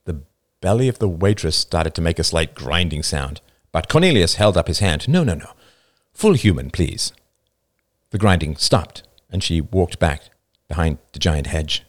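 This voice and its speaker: A man reading a first-person story aloud with expressive storytelling. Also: deep voice, moderate pace, dry recording. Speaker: narrator.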